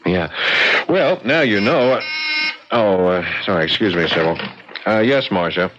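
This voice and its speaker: A composed male adviser in a vintage radio mystery, with a low voice that moves expressively as he probes and explains. The muffled old recording narrows his measured delivery. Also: lively intonation, background noise. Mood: calm, probing. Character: composed male family adviser, dry and probing.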